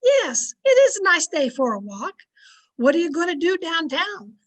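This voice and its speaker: Actress as sensible radio-comedy wife, extremely high-pitched, patient and fond, gently corrects with pet names. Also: female voice, sing-song, normal speed. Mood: affectionate. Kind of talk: 1940s radio comedy acting.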